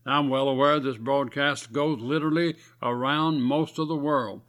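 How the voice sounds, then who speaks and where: A man's voice: medium pitch, steady tone, normal speed, a bit bright. Male radio preacher, scratchy voice, folksy and earnest. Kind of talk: radio sermon.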